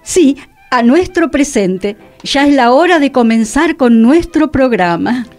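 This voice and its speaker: A woman's voice: high voice, lively intonation, measured pace, darkish tone, faint music behind. An Argentine woman acting an inquisitive, teasing and vain former queen in radio theatre. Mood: teasing, dreamy. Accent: Argentine, Rioplatense.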